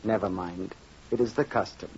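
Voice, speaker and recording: An actor playing a grave, careworn middle-aged fisherman father in old-time radio drama style, halting and earnest. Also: on the low side, a little mellow, husky. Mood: grave, resolved. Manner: halting, earnest, repeating words. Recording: dull, noisy vintage radio recording.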